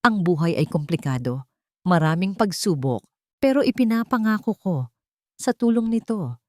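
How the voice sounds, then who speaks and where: A woman's voice: lowish voice, lively intonation, moderate pace, dry recording, clean studio sound, lightly acted. A woman with a Filipino accent voicing an earnest, forgiving younger man in love in a romance story. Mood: tender proposal.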